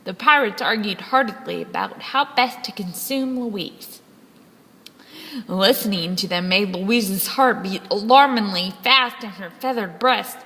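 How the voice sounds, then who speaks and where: A woman reading a children's story aloud in a dramatic, deliberate storytelling manner. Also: mid-range voice, sharp tone, breathy edge. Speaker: storybook reader. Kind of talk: scripted children's story narration, read aloud expressively. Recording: long hall-like reverb.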